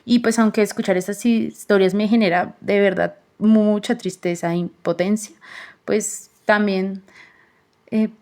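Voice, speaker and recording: A young woman hosting a student podcast, narrating in an earnest, measured way, with frequent "pues" fillers. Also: medium pitch, fairly even intonation, a little mellow. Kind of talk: reflective voice-over narration.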